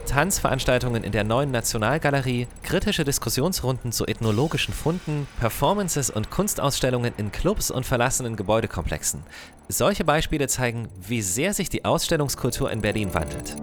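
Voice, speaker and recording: A man's voice: mid-range voice, expressive, fairly quick, slight background noise. A man hosting a city podcast, reading a scripted intro and chatting with guests. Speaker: podcast host. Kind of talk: scripted narration and casual chat.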